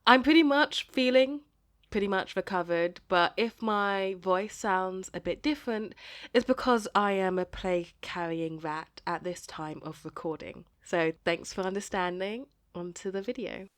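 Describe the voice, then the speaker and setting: A woman with a slightly hoarse voice from a cold, narrating a scripted film video essay with wry, playful asides. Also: mid-range voice, a bit bright.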